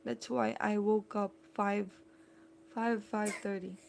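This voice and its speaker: A woman's voice: mid-range voice, little pitch movement, takes it slowly, vocal fry, darkish tone, a little hiss or hum. A woman in her thirties from the Philippines, chatting casually with viewers on a live stream from home and answering their comments. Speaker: live-stream host, mother.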